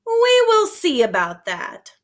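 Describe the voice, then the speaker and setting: Woman acting an insistent, high-voiced mother. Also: wildly swooping pitch, slow, exaggerated voice. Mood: firm. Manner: emphatic, insistent. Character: insistent mother nagging her small son.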